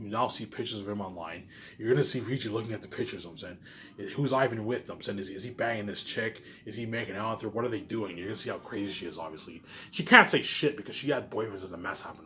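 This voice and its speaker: A man in an unscripted, repetitive and halting monologue to viewers, sounding aggrieved and letting phrases trail off quietly. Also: fairly low pitch, slight background noise. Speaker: online vlogger.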